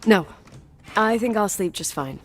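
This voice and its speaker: A woman's voice: mid-range voice, animated, a little slow, a little hiss or hum, lightly acted. An actress playing a guarded, strong-willed young American woman with a dry manner in a naturalistic video game. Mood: wistful, guarded. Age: young adult.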